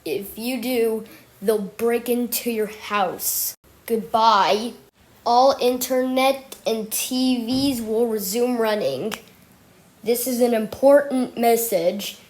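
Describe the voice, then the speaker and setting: Slow, higher-pitched woman giving emergency warnings. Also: a little mellow. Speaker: announcer of a homemade emergency alert scenario. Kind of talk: mock emergency alert announcement, repeated warnings.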